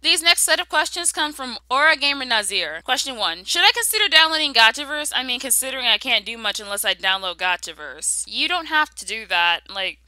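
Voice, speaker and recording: A woman's voice: high-pitched, sing-song, brisk, few pauses, bright, forceful tone, dry recording, poor audio. A woman answering viewer questions in a casual, sarcastic, slangy way, often exclaiming. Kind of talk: casual sassy Q and A commentary.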